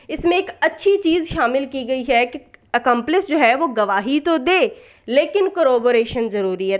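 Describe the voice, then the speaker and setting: A woman giving an explanatory law lecture as a teacher, posing questions and answering them, repeating points and mixing in English terms. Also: sits high. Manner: mixes in English legal terms.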